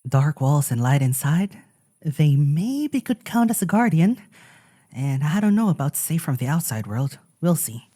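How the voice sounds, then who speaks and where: A man's voice: fairly high pitch, sing-song, measured pace, dark tone. A man playing a practical, guarded traveling companion in an audio drama, with a dry manner. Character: practical, guarded road-trip companion scouting for shelter.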